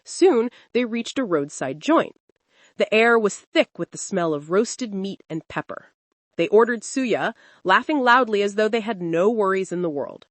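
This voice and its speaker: A woman storyteller giving an expressive, suspenseful narration of a scripted dramatic folktale. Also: mid-range voice, unhurried, no room sound.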